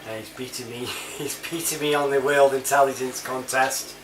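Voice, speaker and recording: A man's voice: medium pitch, fairly even intonation, moderate pace. A British man giving a casual, rambling monologue in a chatty, matey manner full of informal slang. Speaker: online reviewer and CB chat app user. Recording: roomy, distant mic, clear background noise.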